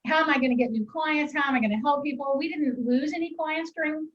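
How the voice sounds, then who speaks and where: A woman from Kansas with a Kansas accent, hosting an interview as a business coach and chatting in a friendly, relaxed way. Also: high-pitched, fast, muffled recording. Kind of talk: interview over video call.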